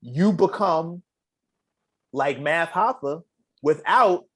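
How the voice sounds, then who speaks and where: A middle-aged man hosting a casual talk show, speaking emphatically and getting animated as he recites lyrics. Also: fairly high pitch, takes it slowly. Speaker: podcast host. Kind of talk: casual chat.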